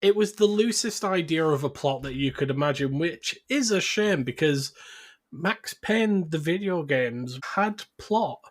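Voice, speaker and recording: A man's voice: on the high side, lively intonation, unhurried. A man speaking as a podcast host in casual discussion. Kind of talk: casual chat and plot summary.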